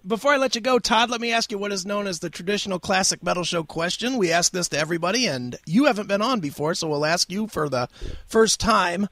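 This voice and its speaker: High-voiced upbeat radio host man. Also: fast talker, fairly bright tone, dry recording. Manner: upbeat, enthusiastic questions. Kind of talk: radio interview hosting.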